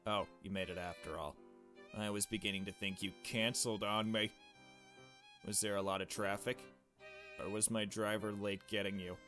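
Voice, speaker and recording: A man's voice: lowish voice, little pitch movement, pushed and bright. Haughty young heiress, visual novel game played by a man: curt, bossy, brushes people off, light music underneath. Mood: brisk. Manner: brisk, bossy, dismissive.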